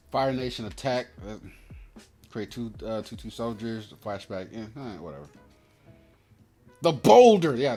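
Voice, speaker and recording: A man's voice: on the low side, darkish tone. Slangy, opinionated man over background music. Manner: slangy, profane, opinionated, acting out quotes. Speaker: host opening trading card packs. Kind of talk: casual rambling commentary and storytelling.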